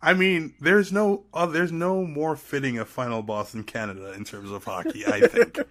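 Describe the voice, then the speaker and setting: A man's voice: fairly high pitch, lively intonation, normal speed, fairly bright tone. A man from Trinidad chatting casually and playfully as a podcast guest. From Trinidad and Tobago. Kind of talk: casual chat.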